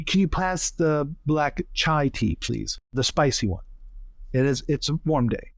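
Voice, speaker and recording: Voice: mid-range voice, animated, measured pace, creaky, no echo, clean studio sound. A tabletop game player chatting casually at the table.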